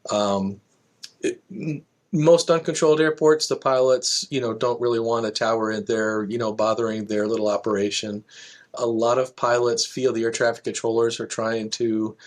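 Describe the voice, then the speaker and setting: A man's voice: medium pitch, fairly even intonation, normal speed. A middle-aged man speaking as an interview guest, explaining things conversationally through examples and anecdotes. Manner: explanatory, illustrates with examples and quotes. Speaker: guest, air traffic control manager.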